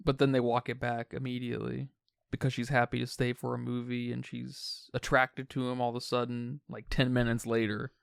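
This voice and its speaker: Creaky-voiced man discussing film. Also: mid-range voice, completely flat delivery, brisk, no echo, studio quality. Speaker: podcast co-host.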